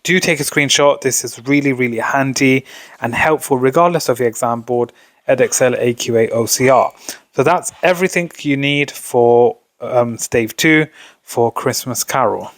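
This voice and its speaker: A man's voice: medium pitch, normal speed, no echo. A man presenting an exam revision lesson as a tutor, talking informally through notes and posing questions that he then answers. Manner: explanatory, poses questions then answers them. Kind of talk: informal instructional commentary over revision notes.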